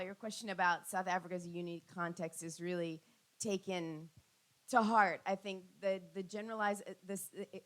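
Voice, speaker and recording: A woman's voice: medium pitch, a bit bright. A middle-aged woman academic answering audience questions on a panel, thoughtful and full of ums and restarts.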